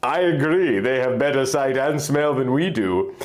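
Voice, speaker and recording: A man voicing a gentle, affectionate human wife in a fantasy story reading, measured and puzzled. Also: medium pitch, animated, close to an everyday voice. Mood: puzzled, calm.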